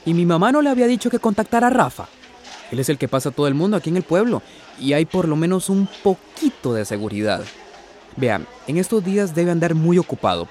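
A man's voice: a little high, a little mellow, slight background noise. An actor playing a polite, concerned young townsman in a radio drama, hesitant and courteous. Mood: helpful.

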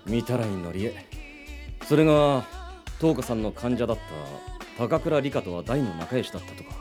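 Man as a bright, lively young detective. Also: mid-range voice, normal speed, over music, close to an everyday voice. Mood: businesslike.

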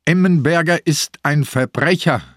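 An actor playing a sick, irritable and stubborn old police inspector in a radio drama. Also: male voice, on the high side, measured pace, no room sound, clean studio sound. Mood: angry, exasperated. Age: older. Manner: gruff, exasperated, confrontational.

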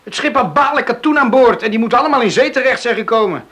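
Eager coastal lad: very lively intonation, high pitch, kindly, radio drama. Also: male voice, quick pace, heavily acted. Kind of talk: Dutch radio play acting.

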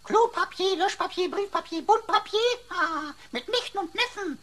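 A woman's voice: very high-pitched, moderate pace, fairly bright tone. A woman playing a cheeky, wisecracking talking computer sidekick with mocking, mock-pompous wordplay in a radio drama.

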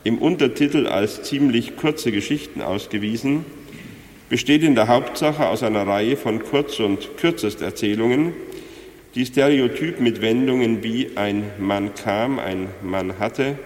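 A man gives scripted literary commentary at a slow, measured pace. His medium-pitched voice rings in a roomy space with long reverb. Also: a little mellow. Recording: echoey room with long reverb.

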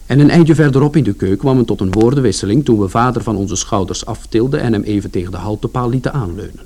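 A man's voice: mid-range voice, fast talker, mellow, a little hiss or hum, lightly acted. An actor playing an indignant, long-winded man who explains his side of events as narrator of a radio play. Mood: explanatory, wry. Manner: aggrieved, explanatory, colloquial.

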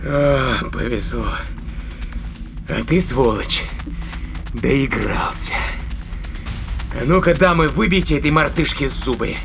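An actor playing a ruthless, mocking spaceship captain in a science-fiction audio play, taunting and commanding. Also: male voice, medium pitch, slow, creaky, long pauses, over music. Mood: gloating, menacing.

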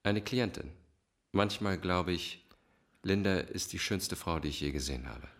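An actor playing a manipulative, menacing psychiatrist in a German radio crime drama, polished, formal and controlled in speech. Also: male voice, sits low, a little slow. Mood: smoothly polite. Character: suave, manipulative, menacing male psychiatrist villain.

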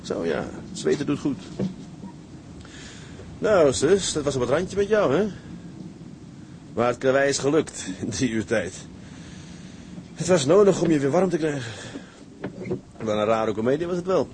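Gruff, kindly seaman amid engine noise. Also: medium pitch, very animated, measured pace, long pauses. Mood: relieved, caring. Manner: muttering to himself, homely seaman's talk. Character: gruff, kindly seaman tending a rescued castaway.

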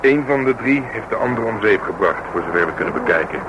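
An actor playing a gruff, sardonic police detective in a radio drama, grumbling drily. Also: male voice, lowish voice, animated, fast, close to an everyday voice. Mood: dry, wry. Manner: dry, grumbling, wry. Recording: noisy old radio drama recording.

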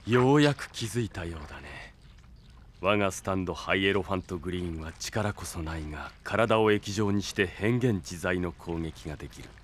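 Guy playing sinister young henchman, low taunting voice, very theatrical, radio drama bad guy. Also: a little hiss or hum, close to an everyday voice. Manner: smug, taunting, deliberate. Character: smug, sinister young male villain, coldly loyal henchman. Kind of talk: theatrical Japanese audio drama acting.

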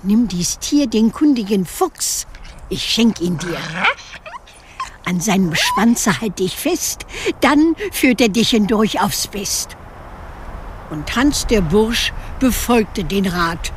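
An actress playing a storyteller who recites a rhymed legend in a measured storybook way for a radio play. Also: female voice, medium pitch, big pitch swings, a little hiss or hum. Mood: measured storytelling. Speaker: narrator. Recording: clear music under the voice.

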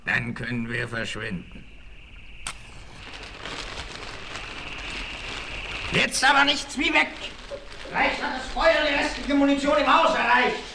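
An actor playing a scheming, bossy leader of a tramp gang, curt and commanding, in a 1960s German western radio play. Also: male voice, extremely high pitch, sing-song, normal speed, vocal fry, bright, forceful tone, full character voice. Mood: urgent, bossy. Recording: 1968 vinyl record, faint noise.

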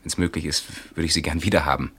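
Radio-play husband under suspicion, very deep male voice, hesitant and evasive;. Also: steady tone, fast, fairly bright tone, breathy edge, full character voice. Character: nervous middle-aged husband questioned by police.

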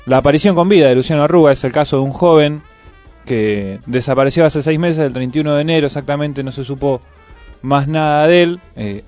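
A man's voice: on the high side, darkish tone. A man with a Buenos Aires area Argentine accent, co-hosting an informal live radio talk show. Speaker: radio co-host.

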